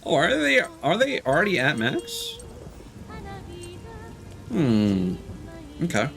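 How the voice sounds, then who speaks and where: Guy streaming a game, thinking out loud, pitch jumps around a lot, game music playing behind him. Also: wildly swooping pitch, slow, gravelly. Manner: rambling, thinking aloud. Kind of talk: casual live gameplay commentary.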